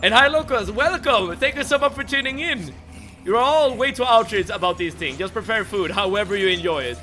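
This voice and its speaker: Young guy streaming a game, high sharp voice, stumbles and repeats words, soft game music behind. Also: animated, fairly quick. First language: Swedish. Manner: chatty, stammers and repeats words, laughs often. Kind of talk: casual chat while playing a game.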